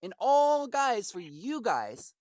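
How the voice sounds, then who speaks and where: Male caller, aggrieved and sermonizing, highly animated intonation, dry close sound, rambling argumentative delivery. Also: slow pace, no room sound. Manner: emphatic, aggrieved, preachy, acts out imagined quotes. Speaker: caller to customer service. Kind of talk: impassioned rambling argument on a phone call.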